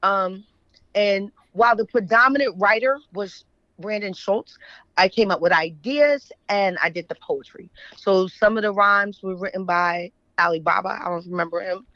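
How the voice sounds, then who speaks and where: A woman's voice: mid-range voice, moderate pace, fairly bright tone, no echo. American woman in dull, lo-fi audio. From Philadelphia, USA. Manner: conversational, often checks in with "right?". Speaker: interview guest, author and editor. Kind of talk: casual storytelling in an interview.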